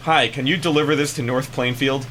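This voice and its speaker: A man's voice: mid-range voice, steady tone, a little fast, fairly bright tone, a little hiss or hum. An American man hosting a radio show with casual, comic banter.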